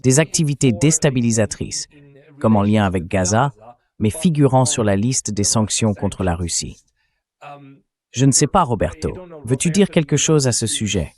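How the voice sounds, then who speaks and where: A man's voice: fairly low pitch, lively intonation, moderate pace, darkish tone. A man hosting an expert discussion in a translated voice-over, conversational and questioning. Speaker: debate host.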